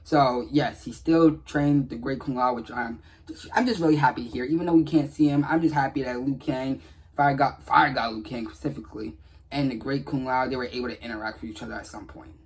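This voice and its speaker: A man's voice: mid-range voice, steady tone, brisk, slightly rough, rough recording. A man giving casual, earnest commentary on game dialogue in a rambling, repetitive way. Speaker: gaming video creator.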